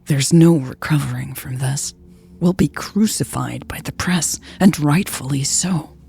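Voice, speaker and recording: A woman's voice: sits low, measured pace, vocal fry, mellow, a little hiss or hum. A woman reader voicing an angry, wounded woman leader who speaks in a clipped, accusing way in a romantic audio story. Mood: cold. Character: angry, cold, wounded woman leader confronting her lover. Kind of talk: audio fiction dialogue reading.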